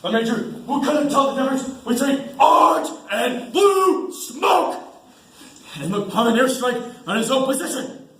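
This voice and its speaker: A man's voice: very high-pitched, animated, a little slow, a bit bright, heavily acted. A man acting as a stern army sergeant major on stage, barking and scolding like an interrogator. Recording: echoey school stage recording.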